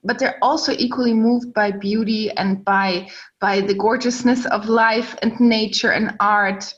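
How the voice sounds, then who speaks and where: A woman's voice: fairly high pitch, fairly even intonation, measured pace, highs cut off. A woman from Germany, a guest on a podcast, speaking articulately with frequent laughs and "um" fillers. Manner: warm, articulate, laughs often, uses um fillers. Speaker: guest, entrepreneur. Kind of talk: podcast interview.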